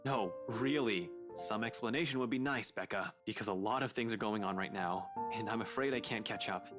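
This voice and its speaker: A man's voice: lowish voice, fairly quick, close to an everyday voice. A man voice-acting a bewildered, earnest young man in a visual novel. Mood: bewildered, pleading. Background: background music.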